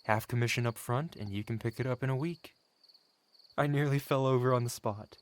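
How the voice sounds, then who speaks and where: A man's voice: medium pitch, a little fast, slight background noise, lightly acted. A man playing a wealthy, covetous dragon in human form, speaking eloquently and formally in a theatrical ASMR roleplay monologue. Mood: proud, reminiscing. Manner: eloquent, formal, courtly, self-satisfied.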